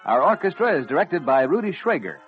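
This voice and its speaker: A man's voice: fairly high pitch, big pitch swings, a little fast. An American man reading scripted announcements in the style of a 1950s radio announcer. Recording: muffled 1950s radio recording, music.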